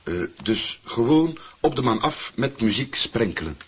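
Yes-man official in a radio drama, really gravelly raspy, deferential, voice goes up and down. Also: medium pitch, big pitch swings, a little slow, fairly bright tone, exaggerated voice. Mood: dutiful, hesitant. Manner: deferential, formal. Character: obsequious male government aide, dutiful and careful. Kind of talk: radio play acting.

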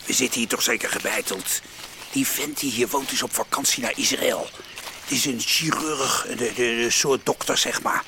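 Radio play burglar boss, male actor: gruff, impatient, slangy, mid-pitched, on a noisy old recording. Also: normal speed, close to an everyday voice. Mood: irritated, cocky. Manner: gruff, scolding, coarse slangy talk. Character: bossy, brash, impatient burglar leading a break-in. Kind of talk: Dutch radio play acting.

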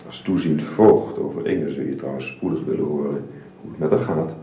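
A man's voice: a bass voice, brisk, creaky, dark tone. A man playing a reserved, earnest father and employer who speaks formally and hesitantly in a radio drama with a roomy, hissy recording. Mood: gentle, formal.

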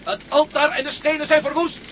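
A bold, wry male adventurer in a radio play. He is tough and commanding, with an extremely high-pitched voice. Noisy sound effects run clearly behind him. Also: level tone, brisk, a bit bright. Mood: hard, commanding.

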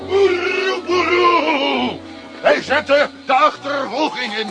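Brawny simple giant, Flemish, broken sentences, music behind. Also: male voice, extremely high pitch, slow, exaggerated voice, low-quality recording. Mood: hearty. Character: strong, simple-spoken giant of a man. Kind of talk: children's radio play.

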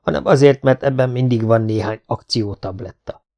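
A man's voice: medium pitch, vocal fry, warm tone, close to an everyday voice. A man reading an audiobook, voicing a wounded, stubborn commando who speaks tersely and halts when moved. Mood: explaining.

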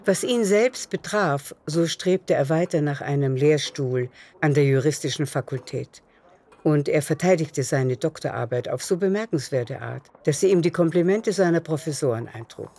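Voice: medium pitch, normal speed, darkish tone. A narrator telling a literary story in a radio drama, in a composed manner. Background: music.

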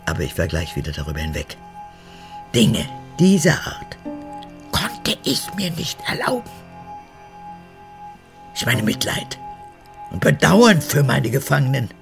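An actress in a radio drama playing a cold, proud old mother and unrepentant former prison guard, haughty and emphatic, with halting phrases. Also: very deep voice, very animated, vocal fry, music in the background, full character voice. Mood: cold, reminiscing. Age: older. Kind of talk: German radio drama acting.

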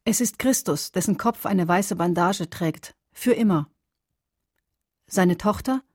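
A solemn voice of litany in a radio poem drama. The delivery is slow, stark and measured, with some huskiness. The sound is dry and close. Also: female voice, on the low side, a little mellow, husky, no echo. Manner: measured, stark questions and pronouncements. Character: solemn female poetic voice of litany. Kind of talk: dramatic poetry recitation in a radio play.

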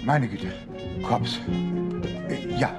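A man's voice: medium pitch, very slow. An actor playing a harmless, nervous old man who drinks and works as a Santa Claus in a radio drama. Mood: nervous, protesting. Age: older. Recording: loud music behind the voice.

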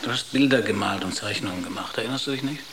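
Insistent crewmate, lowish voice, acted by a man. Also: quick pace, very noisy, close to an everyday voice. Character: insistent, explaining spaceship crewmate in a sci-fi radio play. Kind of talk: German radio drama acting.

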